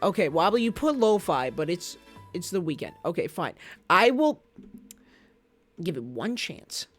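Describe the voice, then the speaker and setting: A chatty woman streamer talks and laughs as she reads aloud, breaking off her own sentences. Her pitch swings widely. Game music plays steadily behind her voice. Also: very animated, a little slow. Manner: chatty, jokey, self-interrupting, laughs often. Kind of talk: casual live-stream chat and manga reading. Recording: background music under voice.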